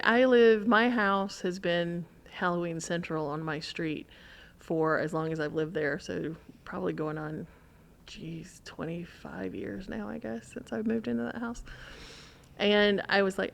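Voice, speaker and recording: A middle-aged woman with an American accent and a fairly low voice. There is plenty of vocal fry as she chats casually and tells anecdotes. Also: fairly even intonation, measured pace. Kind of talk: casual chat, anecdotal storytelling.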